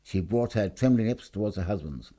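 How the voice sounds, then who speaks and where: A man's voice: on the low side, lively intonation, a little fast, mellow. A man reading a scripted short story aloud in a theatrical, emphatic storytelling manner. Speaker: story reader.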